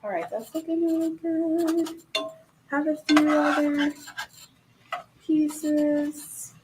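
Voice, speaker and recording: A woman's voice: high voice, steady tone, takes it slowly, over loud music, poor audio. A woman leading a live cooking demo in a kitchen, chatty and upbeat, with lots of fillers. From Puerto Rican family. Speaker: cooking instructor.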